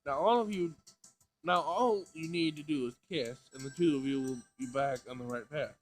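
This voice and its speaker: A man's voice: fairly high pitch, normal speed, lightly acted. A man voicing a magical girl who preaches peace and love in a cutesy, theatrical, bossy way. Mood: coaxing. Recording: music behind the voice.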